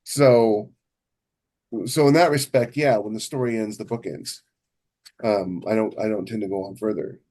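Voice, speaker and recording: A man's voice: lowish voice, fairly even intonation, normal speed, mellow. A man co-hosting a writing podcast as a novelist, chatting casually and emphatically, often rephrasing himself as he goes. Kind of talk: casual chat about writing craft.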